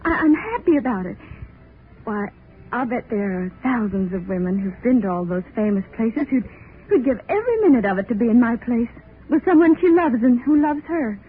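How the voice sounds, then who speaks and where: A woman's voice: fairly high pitch, big pitch swings, fairly quick. An actress playing a giddy, breathless young bride in love with her new husband, in 1930s radio acting style. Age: young adult. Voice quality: breathless, sentimental. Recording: tinny old radio recording with faint hiss.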